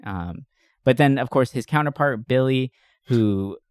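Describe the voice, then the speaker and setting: A man's voice: mid-range voice, measured pace, clean tone, no room sound. A man co-hosting a podcast in an enthusiastic, informal chat, swearing casually. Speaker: podcast co-host. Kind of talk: casual chat about movies.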